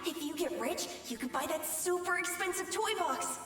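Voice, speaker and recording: An actress voicing an earnest young child in a game flashback. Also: female voice, very high voice, lively intonation, moderate pace, fairly bright tone, faint music behind. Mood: wistful. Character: young child friend eager for food, earnest. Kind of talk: video game flashback dialogue. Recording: echoey distant flashback reverb.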